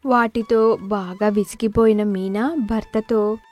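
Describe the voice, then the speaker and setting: A woman's voice: mid-range voice, steady tone, slow, a little mellow, soft background music. A woman narrating a scripted moral story as a storyteller.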